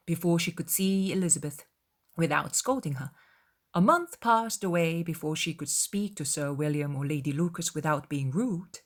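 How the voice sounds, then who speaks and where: A woman narrating a literary audiobook in a dramatized style, measured, with expressive emphasis. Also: deep voice.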